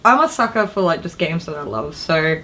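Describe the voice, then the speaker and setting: A woman's voice: low-pitched, big pitch swings, a little fast, a bit bright. A woman co-hosting a gaming show in casual chat, with an informal, slangy way of talking. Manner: informal, slangy, mildly sweary. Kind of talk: casual chat about games and films.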